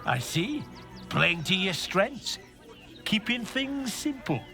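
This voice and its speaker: A sinister, insinuating weapon merchant. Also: male voice, high voice, big pitch swings, heavily acted. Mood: menacing. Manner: smooth, insinuating, unhurried. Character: sinister male weapon merchant probing killers. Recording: game audio with music underneath.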